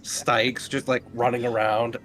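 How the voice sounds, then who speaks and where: A man's voice: medium pitch, level tone, unhurried, fairly bright tone, soft background music. A man playing a tabletop game, chatting casually with joking, sweary banter.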